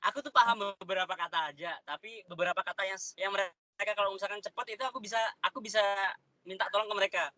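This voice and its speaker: A young man, a trader, answering interview questions in a relaxed, slangy, chatty way. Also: high-pitched, quick pace, dry recording. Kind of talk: casual interview chat.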